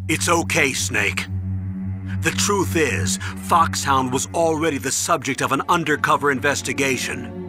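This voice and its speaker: An actor playing an earnest, middle-aged military commander in a dramatic video game, resolute and regretful. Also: male voice, medium pitch, lively intonation, unhurried, music in the background, lightly acted.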